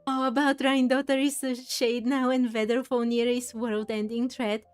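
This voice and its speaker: A woman's voice: a little high, little pitch movement, moderate pace, smooth, faint music behind. A woman hosting a casual game playthrough, chatting and reacting expressively as she goes. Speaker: gaming video host.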